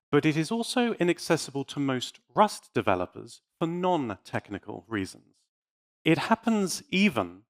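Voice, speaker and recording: A man's voice: mid-range voice, fairly bright tone. A man delivering a prepared conference talk in a theatrical, emphatic and wry manner, with dry jokes. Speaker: conference speaker.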